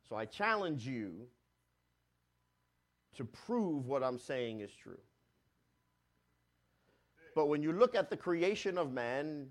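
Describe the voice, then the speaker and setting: A man pastor preaches at an even middle pitch. His delivery surges loud and high on key points, then sinks into quiet, low asides. He restarts and repeats phrases as he goes. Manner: swells louder on key points and drops low and soft on asides. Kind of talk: sermon.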